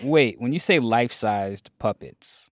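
Man, radio host, animated intonation, playful, dry close mic. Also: mid-range voice, unhurried, no room sound. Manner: playful, brief reactions. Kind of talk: casual radio chat.

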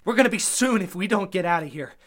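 A man's voice: high voice, lively intonation, fast talker, a bit bright. Man acting urgent, scheming man with a swooping voice. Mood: urgent, shaken. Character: scheming, injured man feigning urgent, protective concern. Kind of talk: Golden Age style radio play acting.

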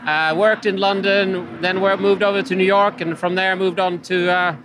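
A man's voice: high voice, level tone, moderate pace. Hesitant Finnish-accented man, noisy track. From Finland. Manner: hesitant, many filler sounds. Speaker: interview guest, company executive. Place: outdoors at a loud racetrack. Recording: noisy outdoor racetrack recording.

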